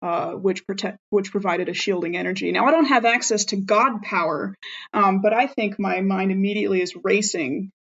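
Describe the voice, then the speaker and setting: A woman's voice: medium pitch, moderate pace, a bit bright, muffled recording. A woman playing a tabletop game on a podcast, talking in the first person as her character.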